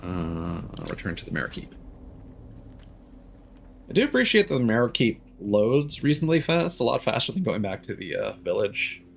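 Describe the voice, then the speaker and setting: A man's voice: on the low side, very animated, normal speed, vocal fry, slight background noise. A man streaming a video game, casually thinking aloud and hesitating with fillers as he plays. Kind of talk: casual gameplay commentary.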